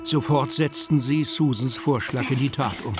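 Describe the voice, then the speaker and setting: An actor plays the storyteller of a children's fantasy radio play. He is a man with a mid-pitched voice, reading scripted narration. Music plays clearly behind him. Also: moderate pace, lightly acted. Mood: storytelling. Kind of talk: scripted audio drama narration.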